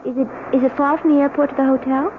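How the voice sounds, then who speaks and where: An actress playing a giddy, eager young newlywed wife in an old-time radio drama, with a gushing manner. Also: female voice, sits high, steady tone, racing, lightly acted. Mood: blissful, gushing. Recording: tinny, noisy old radio broadcast.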